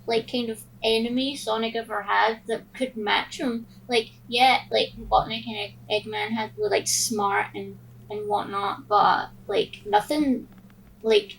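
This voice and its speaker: Higher-pitched, creaky woman chatting casually. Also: slight background noise, rough recording. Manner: hesitant, heavy use of "like". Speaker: podcast host. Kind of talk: casual chat.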